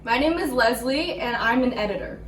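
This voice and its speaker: A woman's voice: on the high side, animated, moderate pace. Young woman presenting, distant and echoey. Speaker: presenter and editor. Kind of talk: scripted video hosting. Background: background music.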